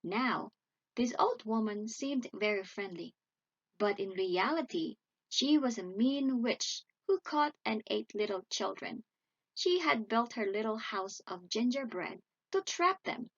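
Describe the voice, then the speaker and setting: Expressive, slow-paced woman storyteller. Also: on the high side, breathy edge, muffled recording. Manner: dramatic, measured. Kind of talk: expressive read-aloud bedtime story narration.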